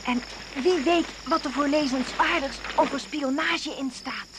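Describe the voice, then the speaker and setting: A woman's voice: sits high, a little slow. An actress playing a composed, wry woman in a radio drama, speaking in a matter-of-fact way. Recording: noisy, hissy old radio recording.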